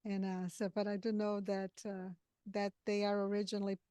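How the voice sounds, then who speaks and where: A woman from Brazil, Portuguese her first language, hosting an interview over a video call and speaking in halting phrases with frequent restarts. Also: medium pitch, monotone, mellow, clean tone, dry recording. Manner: halting, many restarts and fillers. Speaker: podcast host. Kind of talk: casual interview chat.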